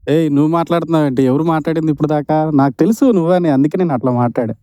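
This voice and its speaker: A man chats casually on a phone call. His voice is soft-edged and mellow at a medium pitch, and he talks fast in a dry, close sound. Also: dry recording. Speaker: boyfriend receiving a prank call.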